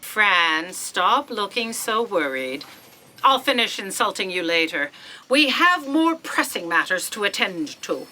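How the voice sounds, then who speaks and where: Imperious, sharp-voiced boss. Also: female voice, mid-range voice, sing-song, unhurried, exaggerated voice, poor audio. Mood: imperious, impatient. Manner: bossy, cutting, commanding. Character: imperious, impatient, insulting female boss. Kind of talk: comedic sci-fi audio drama acting.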